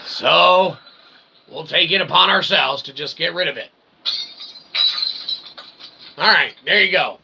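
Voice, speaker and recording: A middle-aged man with a fairly high, gravelly voice rambles through a comedic monologue as show host. His wording is mock-formal and roundabout, punctuated by little check-in tags. Clear background noise sits behind him. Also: takes it slowly, pauses often. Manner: mock-formal, wordy, with repeated "okay" and "right" tags.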